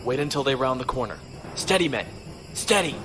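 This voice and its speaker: Bright, composed starship captain played by a man. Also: medium pitch, animated, fairly quick, close to an everyday voice. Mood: urgent, commanding. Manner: crisp, authoritative, composed. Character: steady, courteous male starship captain leading marines. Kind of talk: science fiction audio drama acting. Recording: faint music behind.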